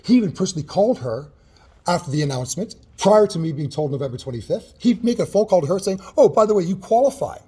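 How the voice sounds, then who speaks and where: A man in a heated in-person complaint at a city office, loud and agitated, talking over others and swearing, caught on a hand-held recording. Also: sits high, sing-song, fast, breathy edge. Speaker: tenant. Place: city hall office, indoors.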